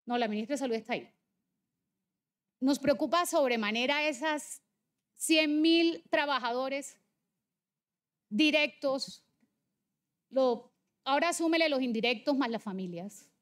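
Female legislator, 40s, Colombian Caribbean accent from Barranquilla, high voice, emphatic debate delivery. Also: unhurried, long pauses. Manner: insistent, emphatic. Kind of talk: political debate speech with slides.

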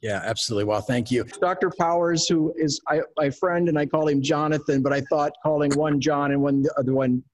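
Male host, interviewer, slightly high voice, measured, articulate, video call. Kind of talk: interview questions.

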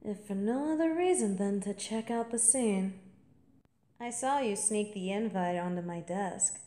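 Woman acting slow, sultry, teasing college senior. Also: medium pitch, mellow, roomy sound, lightly acted. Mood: amused, confiding. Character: teasing, seductive female college senior, confident and playful. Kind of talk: intimate scripted audio roleplay monologue.

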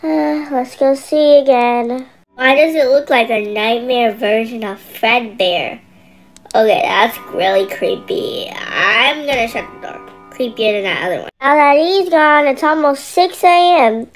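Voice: high-pitched, unhurried, soft background music, low-quality recording. A performer voicing a scared young boy trapped in nightmares, talking to himself in casual slang in an amateur horror roleplay. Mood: wary, muttering.

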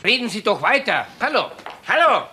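An actor playing an earnest, harried burglary detective in a crime drama, emphatic and matter-of-fact. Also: male voice, high-pitched, big pitch swings, normal speed, heavy background noise. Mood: urgent.

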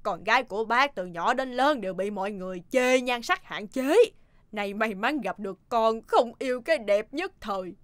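Woman acting a high-voiced, gushing old cartoon mother. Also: expressive, normal speed, fairly bright tone, no room sound. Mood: grateful, sobbing. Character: doting elderly mother of the bride, tearfully relieved. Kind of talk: animated comedy cartoon dub.

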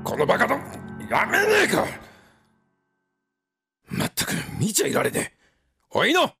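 A man acting a gruff, weary father with a husky, gravelly voice in a radio drama. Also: sits high, huge pitch swings, moderate pace, sharp tone, faint music behind, full character voice. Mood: scolding. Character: gruff, weary father of two grown children.

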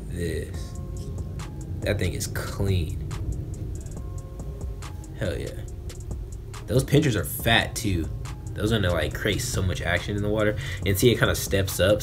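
Young adult American male, lowish pitch, laid-back slangy vlogger delivery, music underneath. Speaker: fishing vlogger. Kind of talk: casual unboxing chat. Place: small bedroom, indoors.

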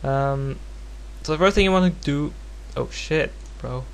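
A man from Norway speaking English as a second language with a Norwegian accent, giving a casual, unscripted software tutorial in a hesitant, rambling, self-deprecating way. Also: a little high, a little slow. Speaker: tutorial presenter. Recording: home recording with clear background noise and hum.

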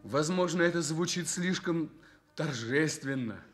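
An ardent young journalist in love in a Soviet radio drama. His voice sits a little high, and he speaks with earnest, romantic fervour. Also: a little slow, fairly bright tone, soft background music. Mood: fervent. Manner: earnest, fervent.

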